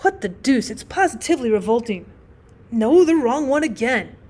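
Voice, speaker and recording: A woman voicing a giddy, boastfully happy newlywed gentleman in an audiobook, tipsy, effusive and rambling. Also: a little high, sing-song, moderate pace. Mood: muttering, annoyed. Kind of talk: acted audiobook storytelling.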